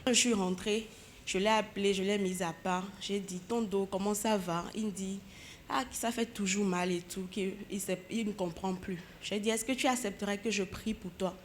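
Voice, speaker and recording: A woman with a West African French accent telling a church testimony in a measured way. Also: medium pitch, steady tone, faint music behind. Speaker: church member giving testimony.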